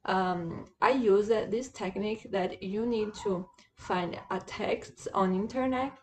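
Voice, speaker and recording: Slow, hesitant woman learner. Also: medium pitch, a little hiss or hum. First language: Portuguese. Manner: hesitant, many fillers and restarts, says "okay?" often. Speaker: language learner vlogger. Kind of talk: casual screen-share tutorial.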